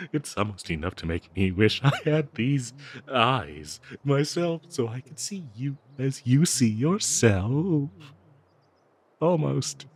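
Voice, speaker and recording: A man voicing a bloodthirsty, doting cursed dagger in an audio roleplay, with theatrical, halting, gushing talk full of endearments. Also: medium pitch. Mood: adoring, giddy. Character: obsessive cursed talking dagger, bloodthirsty, doting, giddy, fawning.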